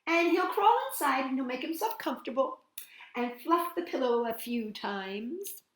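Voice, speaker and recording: A woman hosting storytime, reading a picture book aloud to young children in an animated, playful way with chatty asides. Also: high voice, moderate pace, reverberant.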